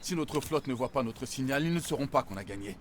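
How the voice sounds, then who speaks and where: A man's voice: mid-range voice, expressive, brisk, a little hiss or hum, close to an everyday voice. A man playing a grave, determined soldier leading a desperate mission in a war video game. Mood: focused, planning. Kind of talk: cinematic war game dialogue. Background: music.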